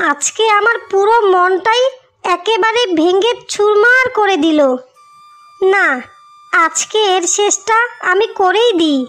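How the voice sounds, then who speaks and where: A woman's voice: very high voice, a bit bright. A woman voicing a wronged, self-sacrificing elder sister in a melodramatic cartoon fairy tale, plaintive and lamenting. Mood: tearful, resigned. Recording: music behind the voice.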